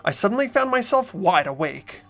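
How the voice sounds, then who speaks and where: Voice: medium pitch, big pitch swings, normal speed. A performer playing an earnest, easily startled teenage schoolboy who narrates and speaks in a visual novel dub. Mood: subdued narration. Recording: background game music.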